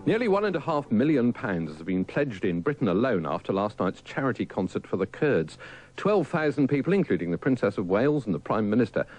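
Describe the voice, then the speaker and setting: A man's voice: lowish voice, sing-song, fairly quick, a little mellow, low-quality recording. A man reading scripted radio news as a newsreader.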